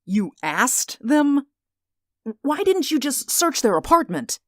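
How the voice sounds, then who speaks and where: Anxious neighbor, earnest and pushy, slow careful talk, dry old radio play sound. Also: male voice, very high-pitched, sing-song, a bit bright, no echo, exaggerated voice. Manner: earnest and insistent. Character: anxious, insistent man watching a window. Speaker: worried neighbor. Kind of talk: 1940s radio acting.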